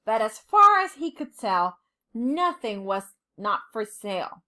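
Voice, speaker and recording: A woman reading a children's storybook aloud like a teacher, expressively and deliberately, with dramatic pauses. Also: a little high, big pitch swings, sharp tone.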